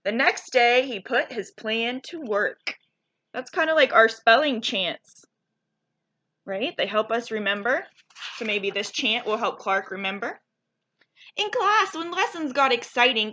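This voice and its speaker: A woman reads a children's story aloud in a high, bright voice. She is very animated, taking her time and checking in with questions for the listeners.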